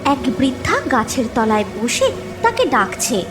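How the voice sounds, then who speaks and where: A woman's voice: sits high, sing-song, a little slow, over music. A woman narrating a cartoon horror story in a dramatic, scripted storytelling style.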